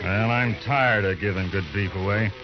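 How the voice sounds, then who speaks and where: An actor playing a gruff, contemptuous trail boss with a folksy, commanding cowboy drawl in an old radio western. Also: male voice, on the low side, moderate pace, music in the background, lightly acted. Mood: scornful. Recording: muffled old radio recording.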